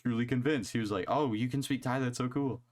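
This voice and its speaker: A man's voice: mid-range voice, steady tone, fast, darkish tone. A young man co-hosting a casual podcast, talking loosely, swearing freely and laughing easily. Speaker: podcast co-host. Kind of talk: casual chat.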